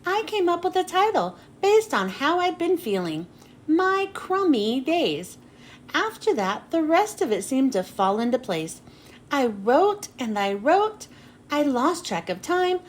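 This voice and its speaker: Animated high-pitched woman reading a storybook. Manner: animated, dramatic pauses and drawn-out phrase endings. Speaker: children's book read-aloud host. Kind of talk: expressive storybook narration for young children. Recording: noticeable background noise.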